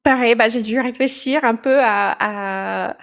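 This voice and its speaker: A woman from the United States, a podcast guest talking through her experiences in a thoughtful, halting way with frequent restarts. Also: on the high side, a bit bright. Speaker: podcast guest, founder of a training company. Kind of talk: casual podcast conversation.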